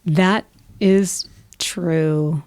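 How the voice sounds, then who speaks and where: A middle-aged woman hosting a podcast, chatty and laughing often, her voice slightly hoarse from allergies. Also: lowish voice, fairly even intonation, drawn-out words, darkish tone. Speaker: podcast host. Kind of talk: casual interview chat.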